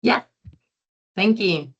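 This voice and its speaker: A woman's voice: medium pitch, expressive, drawn-out words. A woman moderating an online info session, friendly and a little hesitant. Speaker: event moderator. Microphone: video call. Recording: muffled video call audio.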